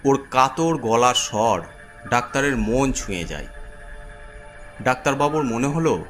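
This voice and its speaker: A male storyteller delivers dramatic, scripted horror narration. His voice sits at a middle pitch. Music plays clearly underneath. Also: expressive, unhurried, a bit bright.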